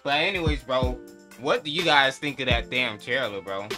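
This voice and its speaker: A man's voice: medium pitch, animated, moderate pace, over music. A man gives casual, hyped, slangy and profane reaction commentary. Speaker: reaction video host.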